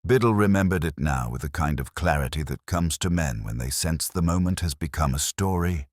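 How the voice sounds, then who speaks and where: Man, extremely low voice, expressive pitch, measured clipped detective narration. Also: dry recording, studio quality. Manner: measured and deliberate, short clipped phrases. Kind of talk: scripted first-person detective narration.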